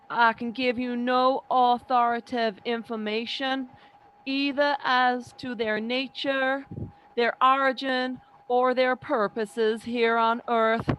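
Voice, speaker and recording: A smooth, clear, fairly high voice of a woman plays a learned professor of science. The delivery is measured and academic, weighing each phrase like an expert in an old radio drama. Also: a bit bright, rough recording. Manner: precise, academic, deliberate. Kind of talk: 1930s radio drama monologue.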